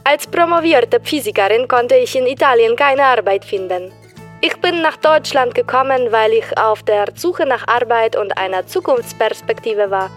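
A woman answering as a guest on a scripted radio show, explaining calmly. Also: on the high side, moderate pace, faint music behind.

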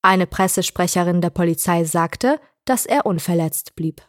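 A woman reading a short news report in an even, clear newsreader manner. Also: on the low side, normal speed, no room sound, studio quality.

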